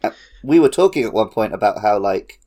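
A man's voice: fairly low pitch, animated, a little fast, mellow. A man co-hosting a podcast, talking casually as he lays out his thoughts. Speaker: podcast co-host.

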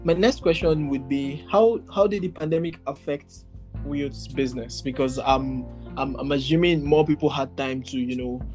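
A man's voice: mid-range voice, moderate pace, darkish tone, soft background music. Male interviewer, mid-range voice. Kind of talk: conversational interview questions.

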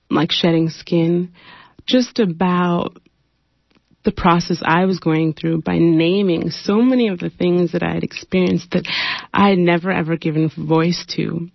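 Creaky-voiced woman poet, low and dry. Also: dry recording. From Nigeria. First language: Igbo. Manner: thoughtful, unhurried, with fillers; raised, pointed delivery when reading poetry. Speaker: poet, interview guest. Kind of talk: radio interview, then poetry reading. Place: radio studio. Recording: muffled dry radio studio sound.

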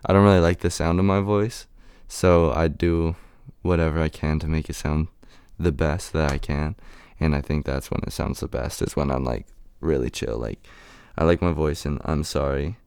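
Very low raspy young man, gravelly. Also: fairly even intonation, darkish tone, no room sound. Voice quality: raspy and deep right now. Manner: halting, many "um" and "like" fillers. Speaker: rapper. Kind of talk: casual interview answers.

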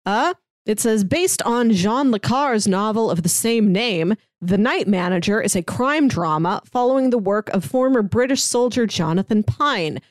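A woman's voice: medium pitch, animated, normal speed, no echo, clean studio sound. A woman co-hosting a podcast in casual chat, talking in a chatty way with hesitant asides. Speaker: podcast co-host. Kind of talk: casual podcast chat, pitching films and reading synopses.

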